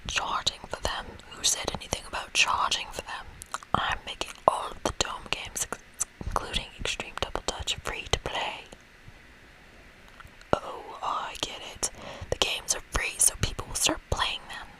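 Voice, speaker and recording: A woman reading a video game aloud in a breathy ASMR whisper, playful and expressive, with casual asides. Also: normal speed, rough recording. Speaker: ASMR gamer reading a game's dialogue aloud. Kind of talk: whispered ASMR let's play.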